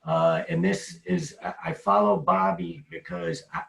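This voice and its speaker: Man, heavy vocal fry, lively swooping pitch, unscripted meeting remarks, dull muffled call. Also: unhurried. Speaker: club president in a meeting. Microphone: video call.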